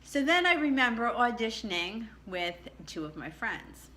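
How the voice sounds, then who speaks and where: A middle-aged woman with a low contralto voice recounts her own story in a chatty, candid way, laughing often. Her tone is bright and forceful. A faint background ambience sits under her voice. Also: very animated, moderate pace, a little hiss or hum, low-quality recording. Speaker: vlogger. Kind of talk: personal storytelling to camera.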